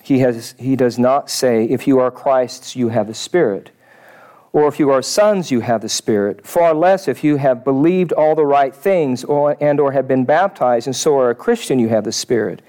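Preacher, male, mid-pitched, thoughtful and measured delivery. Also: mellow. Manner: thoughtful, measured, reads quotes aloud. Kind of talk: sermon.

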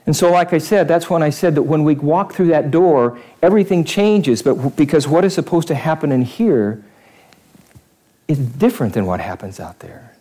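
Man, pastor, sermon, slightly high voice, conversational, repetitive emphasis. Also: expressive, normal speed, mellow. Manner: conversational preaching, repeats phrases for emphasis. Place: church.

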